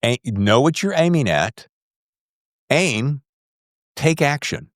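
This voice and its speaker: A man's voice: medium pitch, expressive, slow pace, no room sound. A middle-aged man hosting a podcast in casual, enthusiastic and effusive interview chat, speaking close to the microphone. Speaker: podcast host.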